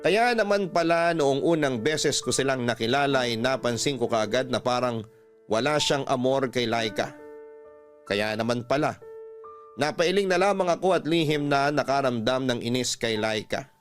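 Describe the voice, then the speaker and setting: A man's voice: medium pitch, moderate pace. A man with a Filipino accent, a radio host reading a first-person love story as dramatic narration. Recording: music bed under the voice.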